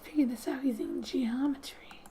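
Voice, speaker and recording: Soft, high-voiced woman doing whispery ASMR narration. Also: fairly even intonation, a little hiss or hum. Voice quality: soft, breathy, often dropping to a whisper. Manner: gentle, unhurried, playful asides. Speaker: ASMR gaming host. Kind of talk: soft-spoken ASMR game narration, reading text aloud with asides.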